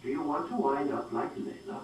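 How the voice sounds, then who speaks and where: An actor playing a cold, domineering boss scientist in a video game, speaking in a pointed, condescending way. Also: male voice, a little high, expressive, moderate pace, natural voice. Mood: irritated, condescending. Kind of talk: video game cutscene acting. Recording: very echoey, distant, faint noise.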